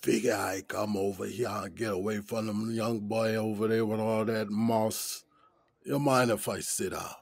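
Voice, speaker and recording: An actor playing an easygoing, folksy older male inmate in his sixties in an audio drama, with a deep, raspy smoker's voice and a Gullah Geechee accent. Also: fairly even intonation, no room sound, heavily acted. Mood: folksy, relaxed. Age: 60s. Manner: folksy, unhurried, colloquial drawl.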